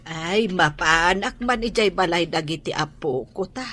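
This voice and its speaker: A woman's voice: lowish voice, a little slow, sharp tone. A woman with an Ilocano accent playing a caring grandmother chatting with a neighbour in a radio drama. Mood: concerned, neighbourly. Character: caring older woman relative, fond of her grandchildren.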